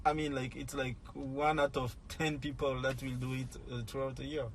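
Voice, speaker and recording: A man's voice: medium pitch, level tone, moderate pace. A man from Cameroon explaining health topics in casual conversation, often padding with "I mean, like". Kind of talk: casual chat. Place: inside a car. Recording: noisy car interior.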